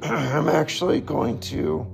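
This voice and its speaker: Hoarse, gravelly man talking slowly. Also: on the high side, soft background music. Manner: slow, halting, pausing to reflect. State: hoarse, raspy voice. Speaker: podcast host. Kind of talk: solo reflective podcast monologue.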